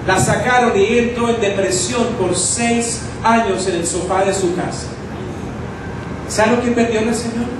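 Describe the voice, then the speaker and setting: A man's voice: high-pitched, normal speed. A man in preaching a sermon to a congregation, teaching through rhetorical questions. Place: church hall with congregation. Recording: echoey hall, distant mic, noisy.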